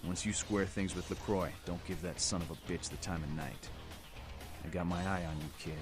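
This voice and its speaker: Casting: male actor as tough American vampire anarchist, low-voiced, streetwise and contemptuous, game dialogue over prominent music. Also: steady tone, moderate pace, lightly acted. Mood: gruff, instructive. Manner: tough, folksy, blunt, contemptuous. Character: gruff veteran vampire anarchist rebel leader, defiant streetwise brawler. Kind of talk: video game dialogue acting. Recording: music behind the voice.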